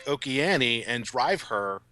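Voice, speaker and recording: A man's voice: medium pitch, expressive, a little slow, over music. A man talking analytically and casually as a podcast commentator.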